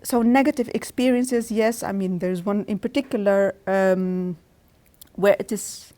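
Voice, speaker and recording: A woman's voice: mid-range voice, fairly even intonation, unhurried, dark tone. Hesitant woman professor, thinking aloud. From Tunisia. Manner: thoughtful, hesitant with restarts. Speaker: guest professor. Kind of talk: academic interview answers.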